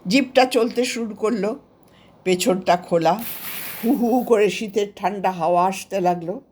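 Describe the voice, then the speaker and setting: A woman with an Indian Bengali accent narrating a scripted story of memories in an expressive, unhurried storybook manner. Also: medium pitch, slight background noise. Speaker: author narrating her own story.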